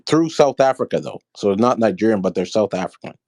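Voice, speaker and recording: A man's voice: fairly low pitch, expressive, brisk, a little mellow, no room sound, clean studio sound. A man from Michigan calling in to a show, rambling and hesitant with many fillers. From Michigan, USA. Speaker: caller. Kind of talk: casual call-in chat.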